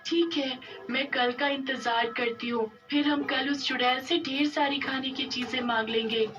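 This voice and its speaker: A woman voicing an excitable little girl, childlike, wheedling and gushing, in an animated story. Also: sits high, unhurried, far from the mic, highs cut off. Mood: pleading, eager. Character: excitable little sister, pleading and delighted. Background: music.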